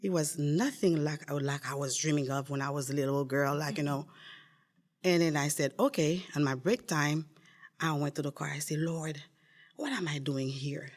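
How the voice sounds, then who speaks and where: An older woman from Haiti, a podcast guest telling her faith story earnestly, with many pauses and repeated words. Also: sits low, fairly even intonation. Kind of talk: casual testimony.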